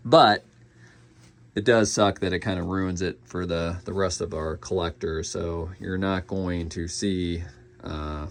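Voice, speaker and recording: A man giving a casual, unscripted collectible review, thinking aloud with halting pauses. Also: deep voice, gravelly.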